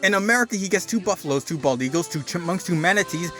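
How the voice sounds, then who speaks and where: A man with a high-pitched voice reads a story aloud as a dramatic narrator. His delivery is theatrical and emphatic, with music playing behind him. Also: lively intonation, fast talker, fairly bright tone. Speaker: narrator doing a dramatic reading. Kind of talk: dramatic reading of a written story.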